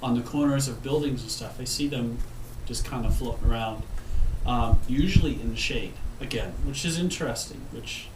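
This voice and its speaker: A man's voice: medium pitch, normal speed. A man in his thirties with a head cold, talking casually to the camera about his plants on a windy patio, rambling and restarting his sentences as he goes. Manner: rambling, self-correcting, frequent ums. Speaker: gardening vlogger. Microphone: camera mic from a few feet away. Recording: roomy, distant camera audio with wind noise.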